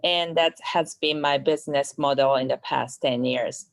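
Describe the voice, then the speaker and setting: A woman's voice: very deep voice, fairly even intonation, moderate pace. A woman realtor giving a self-introduction in an online meeting over a video call.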